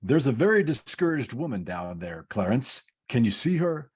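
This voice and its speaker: A man playing a calm, patient senior angel who explains things in the scripted style of an old-time radio play. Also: medium pitch, normal speed, husky. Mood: patient, explaining. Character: senior male angel Joseph, calm, patient, instructive overseer.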